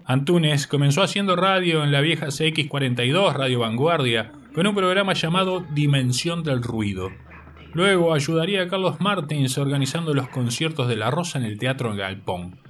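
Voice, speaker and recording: A man's voice: a little high, lively intonation, moderate pace, fairly bright tone. Man narrating, slightly distant and noisy. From Uruguay. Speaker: host of a radio segment on spoken poetry. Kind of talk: scripted narration.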